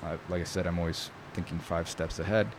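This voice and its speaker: A man's voice: low voice, steady tone, a little fast, noisy background. A man from New York who grew up speaking Italian first, a guest talking casually in an interview, thoughtful, with many fillers. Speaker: guest, knife maker.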